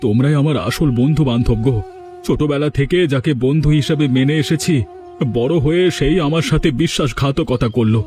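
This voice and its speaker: A man's voice: medium pitch, fairly quick, a little mellow, close to an everyday voice. A man playing a sentimental elderly village husband in a cartoon drama, earnest and pleading, with some stammering. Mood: grateful, earnest. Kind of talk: dramatic Bengali cartoon dialogue dubbing.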